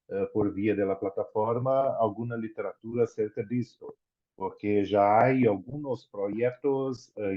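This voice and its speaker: Slow low-voiced professor with roots. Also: male voice. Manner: slow, measured, searching for Spanish words. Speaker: course professor. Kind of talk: online class, giving feedback. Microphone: video call. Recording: dull video call audio.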